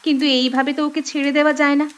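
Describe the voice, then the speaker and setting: A woman's voice: high voice, flat delivery, very fast, a little hiss or hum, lightly acted. A woman voicing two young village sisters in a cartoon, speaking urgently, earnestly and with care. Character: young village girl sisters, caring and brisk. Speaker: voice actor. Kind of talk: cartoon voice acting.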